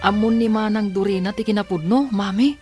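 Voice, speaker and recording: An actress playing a worried younger sister in radio drama style. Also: female voice, mid-range voice, steady tone, a little fast, lightly acted. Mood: worried, questioning. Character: worried younger sibling of the adopted daughter. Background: loud dramatic music.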